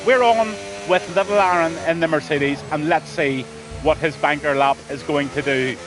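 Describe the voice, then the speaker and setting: A man's voice: on the high side, moderate pace, music in the background. A man with a Scouse accent commentating a sim race on a live stream, greeting viewers by name and letting his voice trail off at the ends of lines.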